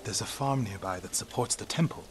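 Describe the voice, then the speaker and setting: A man voicing an earnest, grieving young warrior monk of medieval Japan in a video game, with solemn, measured speech. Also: fairly low pitch, slight background noise, natural voice. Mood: reflective. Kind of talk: dramatic video game voice acting. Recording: music and rain behind the voice.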